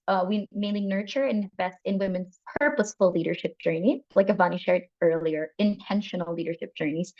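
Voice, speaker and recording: A woman hosting an online workshop who thanks people and sometimes restarts mid-sentence. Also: medium pitch. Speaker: workshop host. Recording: muffled lo-fi audio.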